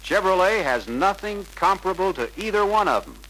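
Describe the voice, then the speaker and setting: Eager young salesman, bright and animated. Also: high-pitched, a little fast. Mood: persuasive. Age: young adult. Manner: deferential, persuasive, earnest. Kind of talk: 1950s sales pitch acting. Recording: noisy 1950s film soundtrack.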